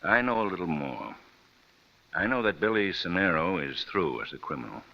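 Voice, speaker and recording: An actor playing a stern, shrewd prison warden with hidden compassion, speaking formally and deliberately in mid-century radio drama style. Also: male voice, deep voice, very animated. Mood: grave, firm. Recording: dull old radio recording.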